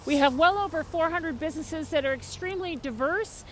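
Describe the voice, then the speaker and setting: Woman, association director, upbeat and descriptive, higher pitch, faint street ambience. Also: a little fast, slight background noise. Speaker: business association executive director. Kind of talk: documentary interview.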